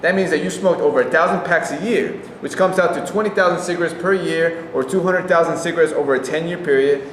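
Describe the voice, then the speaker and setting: An actor plays an earnest, pedantic would-be gallant in a staged reading. His somewhat high voice sounds distant in an echoey room. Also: fast talker, few pauses, natural voice. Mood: earnest, protective. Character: would-be chivalrous, literal-minded man, protective but ineffectual. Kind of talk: staged reading of comic dialogue. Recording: echoey room, distant mic, background noise.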